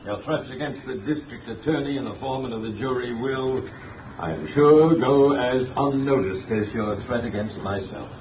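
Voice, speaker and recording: A stern, unshakable judge, played by a man, speaking in measured formal sentences, heard distant and echoey through a thin, phone-like old radio recording with heavy hiss. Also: medium pitch, natural voice. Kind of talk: 1930s radio drama acting. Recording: tinny, echoey, distant, noisy 1930s radio.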